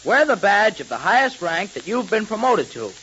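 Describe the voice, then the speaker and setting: A young-sounding male actor playing an eager, excitable boy sidekick in a 1930s radio serial. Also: high-pitched, normal speed, breathy edge, heavily acted. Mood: earnest, eager. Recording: muffled, noisy 1930s radio recording.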